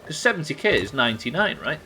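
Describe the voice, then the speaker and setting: A man's voice: a little high, big pitch swings, fast talker, bright, forceful tone, slight background noise. An English man doing casual live game commentary, sweary and jokey, bantering and reading out chat at his desk. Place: indoors at a desk.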